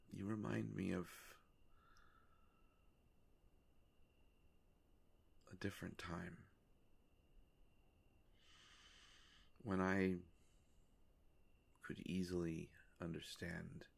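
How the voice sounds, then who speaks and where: Man voicing a deep, slow, gravelly ancient vampire. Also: full character voice. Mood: grateful, hesitant. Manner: formal, halting, hushed, measured phrasing. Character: courtly, melancholy ancient male vampire, grateful and formal. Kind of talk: slow intimate roleplay audio monologue.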